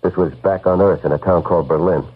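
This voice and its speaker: An actor playing a tough, loyal investigator in an old-time radio drama, blunt and slangy. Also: male voice, low-pitched, fairly even intonation, quick pace, slightly rough. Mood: grim, matter-of-fact. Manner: blunt, slangy, world-weary. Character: tough, loyal male hard-boiled investigator. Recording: muffled old radio recording, faint music.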